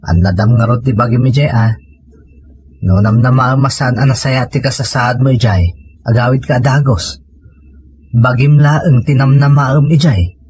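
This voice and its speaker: A man's voice: mid-range voice, fairly even intonation, moderate pace, darkish tone, breathy edge, dry recording, faint music behind. A man with a Filipino accent acting a cheeky, money-minded old family man in an audio comedy drama. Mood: soft, reassuring.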